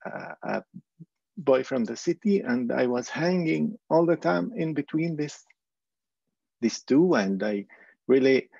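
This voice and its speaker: Gravelly man on a dull dry line. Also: mid-range voice, a little mellow, no echo. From Palestine. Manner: hesitant, many fillers. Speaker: panel speaker, circus clown. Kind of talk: panel talk.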